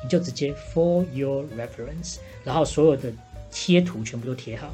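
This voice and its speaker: A woman's voice: very deep voice, moderate pace, dark tone, faint music behind, rough recording. A woman with a Taiwanese accent hosting a casual chat and giving practical advice. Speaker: podcast host playing bartender and advisor.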